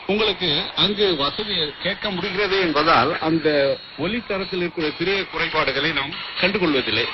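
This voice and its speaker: An older man with an Indian Tamil accent gives formal, measured interview answers in literary phrasing. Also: a little high. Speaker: retired radio official, interviewee. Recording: noisy muffled shortwave radio broadcast.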